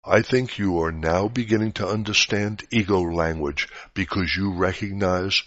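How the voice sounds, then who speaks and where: A man reading a scripted spiritual teaching aloud in a measured, didactic way. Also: sits low, fairly bright tone. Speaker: reader of spiritual articles. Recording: dull, roomy lo-fi recording.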